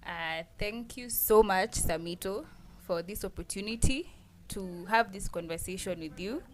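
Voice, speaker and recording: A young woman from Nairobi with a Kenyan accent, a podcast guest talking in a relaxed way with short affirmations. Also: medium pitch, unhurried, slight background noise. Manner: relaxed, answers with brief affirmations. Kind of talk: casual conversation.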